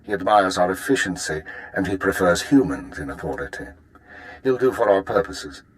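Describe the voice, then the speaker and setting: Cool, commanding spymaster. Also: male voice, low voice, animated, moderate pace. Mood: calculating, satisfied. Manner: formal, precise, authoritative. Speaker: voice actor in a game. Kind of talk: video game cutscene acting.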